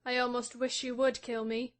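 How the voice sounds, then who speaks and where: A man reading an audiobook voices a proud, defiant young wife, speaking softly and scornfully. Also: very high voice, lively intonation, a little slow, voice pushed up, full character voice. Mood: bitter. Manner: soft, hushed and scornful. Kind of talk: audiobook reading of a classic novel.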